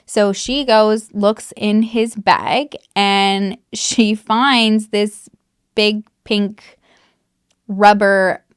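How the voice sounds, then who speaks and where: A woman's voice: medium pitch, fairly even intonation, drawn-out words, clean tone, dry recording, studio quality. A woman recounting a true crime case in a casual, chatty way, full of fillers and the odd swear word. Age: adult mother.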